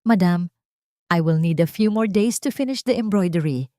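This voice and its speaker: A composed, enigmatic woman of an audio melodrama, played by a woman narrator. She speaks calmly and deliberately, with veiled danger in a dry, close sound. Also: fairly low pitch, dry recording, close to an everyday voice. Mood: calm, veiled threat. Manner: calm, measured, mysterious. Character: calm, mysterious wronged woman with veiled menace.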